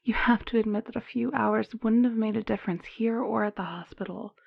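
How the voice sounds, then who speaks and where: Husky, tender motherly nurse played by a woman. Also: fairly high pitch, fairly even intonation, a little fast, no echo, lo-fi sound, heavily acted. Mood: defensive, hushed. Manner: gentle, soothing, often dropping into whispers. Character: tender, protective motherly nurse, cooing over a foundling child. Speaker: voice actor in an audio roleplay. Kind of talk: soft intimate audio roleplay acting.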